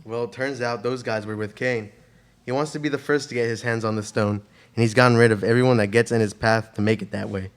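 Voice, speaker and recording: A man's voice: mid-range voice, steady tone, fast talker, close to an everyday voice. A student actor playing an eager, easygoing male ex-treasure hunter and pilot in an amateur radio play. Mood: earnest. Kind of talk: amateur radio theater acting.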